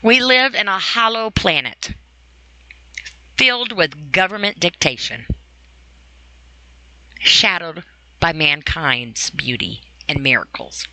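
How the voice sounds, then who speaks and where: A woman's voice: on the low side, sharp tone, poor audio. A woman hosting an informal, opinionated podcast monologue, speaking emphatically and repeating phrases for stress. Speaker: podcast host.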